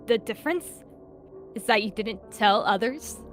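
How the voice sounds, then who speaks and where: Girl doing a stubborn kid tomcat, yelling n stammering, music faint in the back. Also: fairly high pitch, expressive, unhurried. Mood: accusing. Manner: shouting, stammering, emotional. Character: stubborn young male apprentice cat, grieving.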